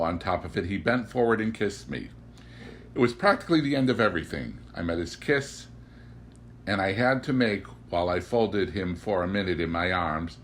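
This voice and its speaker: A man reading a classic story aloud as an audiobook, with a theatrical, deliberate and emphatic delivery. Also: lowish voice, a little hiss or hum. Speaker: audiobook reader. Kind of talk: dramatic literary narration.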